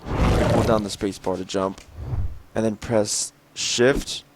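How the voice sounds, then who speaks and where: Young male gameplay narrator, lowish and a little raspy, casual and rambling, clear game gunfire under him. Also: steady tone, unhurried, very noisy. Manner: rambling, frequent fillers and throat clearing. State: slightly raspy from lack of sleep. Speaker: gameplay video host. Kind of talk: mod showcase commentary. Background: game gunfire and clicks.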